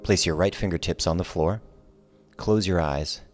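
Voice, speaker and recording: A man teaching a guided relaxation yoga class, giving calm, soothing, measured cues over soft music. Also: low voice. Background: soft guitar music.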